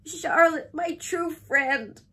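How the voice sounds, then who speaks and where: A high, emotional young male pig from a children's storybook, played by a woman. He pleads and exclaims with frantic urgency, devoted to his friend, pushing his voice up loud. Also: slow pace, heavily acted. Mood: anguished.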